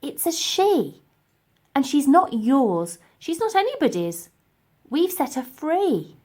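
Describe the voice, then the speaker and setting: A woman's voice: high-pitched, very animated, slow, darkish tone, voice pushed up, heavily acted. Woman as a plucky, earnest young girl. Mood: defiant. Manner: earnest, determined.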